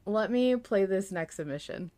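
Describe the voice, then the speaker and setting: A woman's voice: medium pitch, animated, moderate pace, mellow. A woman hosting a podcast in casual chat, swearing freely. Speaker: podcast host.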